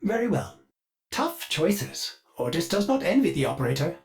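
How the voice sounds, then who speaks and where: A man's voice: high voice, sing-song, normal speed, a little mellow. An actor voicing a loyal, eager male ship's AI who speaks of himself in the third person in formal, sing-song lines. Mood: earnest. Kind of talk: game voice lines.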